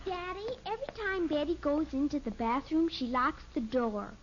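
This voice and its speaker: A woman's voice: sits high, lively intonation, moderate pace. A female performer playing a cheeky little American girl, whining and plaintive in 1950s radio sitcom style. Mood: whiny, indignant. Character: whiny, cheeky little girl, tattling youngest daughter. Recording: muffled, hissy vintage radio recording.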